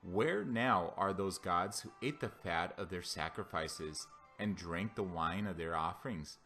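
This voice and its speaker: Guy playing angry almighty god from the bible, low commanding voice, big declarations, faint music under it. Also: a little slow, a bit bright. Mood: wrathful, commanding. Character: wrathful, mighty God proclaiming vengeance.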